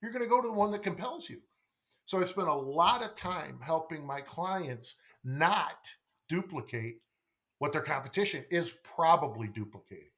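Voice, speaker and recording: A man's voice: a little high, animated, moderate pace, a bit bright. Middle aged guy on a podcast, really swingy voice, punches points, repeats himself, keeps asking right?. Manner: emphatic, repeats phrases, rhetorical questions and tag "right?". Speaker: sales coach, podcast guest. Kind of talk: conversational podcast interview.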